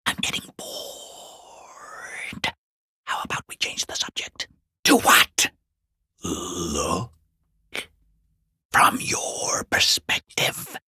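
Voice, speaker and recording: Sneering video game lurker, breathy rasp, bright sharp edge, furtive, sarcastic, taunting, wildly swinging intonation. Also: male voice, high-pitched, no echo, studio quality, full character voice. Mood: bored, dismissive. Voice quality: hoarse, breathy rasp, often half-whispered. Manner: sarcastic, taunting, theatrically drawling. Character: sneering, mocking, furtive peeping lurker behind a gate. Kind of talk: video game character acting.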